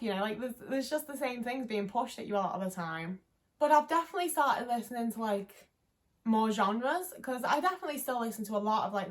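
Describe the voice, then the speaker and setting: A young woman in her twenties with a Liverpool accent, chatting casually to camera in a rambling, candid and sweary way, full of "like" fillers. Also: on the high side. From England. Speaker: vlogger.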